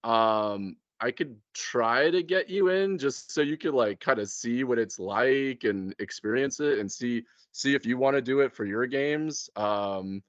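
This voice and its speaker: Slow talking guy, casual halting chat, mid pitch, dry kinda muffled mic. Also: dry recording. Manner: informal, halting, thinking aloud.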